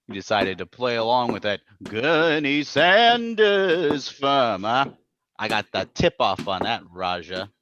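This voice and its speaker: An actor playing a blustering, angry crooked stockbroker in a live old-time radio drama. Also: male voice, medium pitch, very animated, takes it slowly, a bit bright, lo-fi sound. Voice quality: blustering, tough-talking. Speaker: stage actor in radio play.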